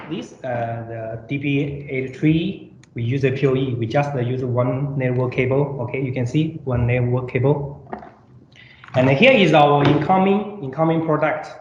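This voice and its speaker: Slow-talking man demonstrating products, roomy echo. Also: mid-range voice. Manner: halting, simple non-native English with repetitions. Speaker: product presenter. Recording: roomy echo, dull sound, faint noise.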